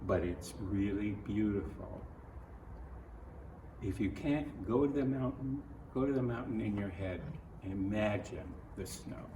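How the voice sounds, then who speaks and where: A man from Ohio with an American accent reads a picture book aloud to children, adding his own earnest, gentle comments in halting phrases. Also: on the low side. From Ohio, United States. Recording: roomy echo, distant mic, faint noise.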